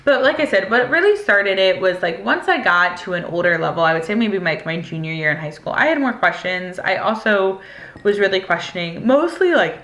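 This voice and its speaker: A woman's voice: medium pitch, lively intonation, brisk, a bit bright. A woman in her twenties from the United States, telling a personal story in a candid, rambling way with many filler words. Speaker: vlogger. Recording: echoey room.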